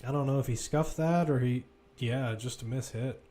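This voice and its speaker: A man's voice: mid-range voice, normal speed, warm tone. A man giving live football play-by-play commentary, describing the action as it runs, with asides and self-corrections.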